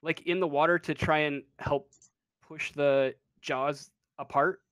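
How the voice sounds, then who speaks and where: A man narrating a tabletop game as game master in a casual, halting, improvised way. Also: on the high side, steady tone, a bit bright, dry recording. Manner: halting, hesitant, casual delivery.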